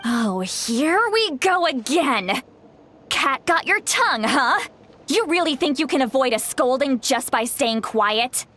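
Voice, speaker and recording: A woman's voice: high voice, very animated, slow pace, fairly bright tone, exaggerated voice. A woman voicing a bossy, sarcastic granny in a video game, scolding in a stern, mocking and theatrical way. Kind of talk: English game voice acting.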